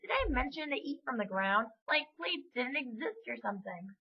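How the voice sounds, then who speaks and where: A woman's voice: a little high, animated, moderate pace, breathy edge, muffled recording, pitched above the performer's own voice. A woman voicing a grumpy, dramatic young girl in a children's story, with a whiny, complaining way of talking. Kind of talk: children's story character reading.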